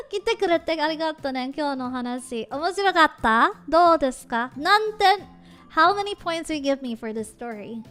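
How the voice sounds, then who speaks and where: A woman's voice: sits high, expressive, a little fast, fairly bright tone, soft background music. A woman streamer chatting casually and giggling, switching between English and Japanese. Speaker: VTuber streamer. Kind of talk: casual live-stream chat.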